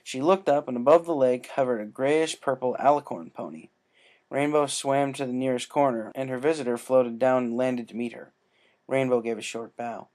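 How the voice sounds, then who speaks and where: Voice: medium pitch, moderate pace, a little mellow. A narrator reading scripted fiction aloud as a storyteller.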